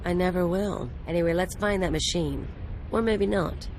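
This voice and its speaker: A woman's voice: fairly low pitch, moderate pace, darkish tone, a little hiss or hum, natural voice, rough recording. An actress playing a flirty, candid female companion in a video game, with a playful manner. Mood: teasing.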